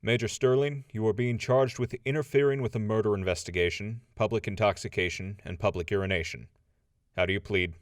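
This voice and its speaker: An actor playing a stern, authoritative judge, formal and commanding, in an audio drama courtroom. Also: male voice, lowish voice, steady tone, a little fast, dry recording, clean studio sound, lightly acted. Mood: stern, even.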